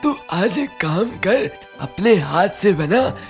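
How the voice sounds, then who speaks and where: Cartoon older father: relaxed, food-loving, unhurried delivery, faint music. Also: medium pitch. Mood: easygoing. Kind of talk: cartoon moral-story voice acting.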